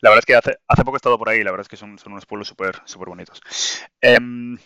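A man's voice: fairly low pitch, fast talker, pushed and bright, smooth, no room sound, lo-fi sound. A man from Spain co-hosting a podcast, joking and chatting casually with many restarts. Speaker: podcast co-host. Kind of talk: casual interview chat.

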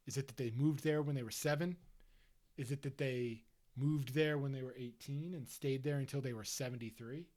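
A man's voice: mid-range voice, steady tone, normal speed, darkish tone. A man from the Philadelphia suburbs co-hosting a casual book-club chat, thinking aloud in a rambling way with heavy use of "like". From Delaware County, Pennsylvania. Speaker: podcast co-host.

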